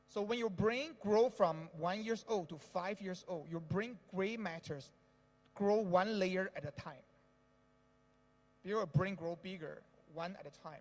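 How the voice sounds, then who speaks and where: High-voiced man lecturing in a reverberant hall. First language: Indonesian. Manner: explanatory, frequent tag "right?". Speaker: speaker at an education event. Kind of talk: talk to an audience.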